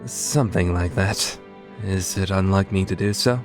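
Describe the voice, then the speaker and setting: Man acting a low-voiced, aloof but kind guy. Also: normal speed, faint music behind, close to an everyday voice. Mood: puzzled, softening. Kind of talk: dubbed visual novel acting.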